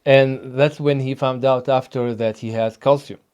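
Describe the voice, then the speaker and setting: Tunisian-born man, mellow fitness trainer. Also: medium pitch, steady tone, moderate pace, clean tone. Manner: emphatic, hesitant with many fillers. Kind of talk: casual interview talk.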